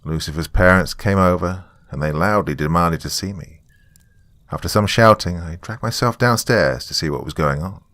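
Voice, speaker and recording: Male narrator for scripted horror fiction: low register, very dry recording, dramatic first-person read. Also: moderate pace, a bit bright, dry recording.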